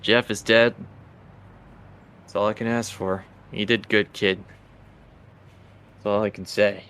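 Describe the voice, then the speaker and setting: A man playing a laid-back older uncle from a crime family in a tabletop game, terse and unhurried. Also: lowish voice, flat delivery, fairly bright tone, smooth. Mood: weary, resigned.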